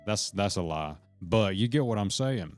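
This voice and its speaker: A deep, low-voiced man talks loosely to his stream chat, hopping between thoughts and cursing as he goes. Faint guitar music plays behind him. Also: animated, moderate pace. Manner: rambling, profane, jumps between points; talks to chat members directly. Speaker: live streamer. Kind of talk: rambling live-stream chat to viewers.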